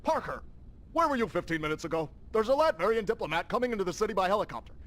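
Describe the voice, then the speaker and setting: An actor playing an irascible, bossy middle-aged newspaper boss in a video game, barking orders. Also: male voice, high-pitched, lively intonation, a bit bright. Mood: irate, urgent. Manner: barking rapid-fire orders. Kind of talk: video game cutscene acting.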